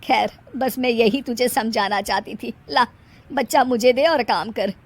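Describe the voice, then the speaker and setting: A woman's voice: a little high, measured pace. A woman voicing a weary, wry, grumbling older mother-in-law in a moral story. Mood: pointed.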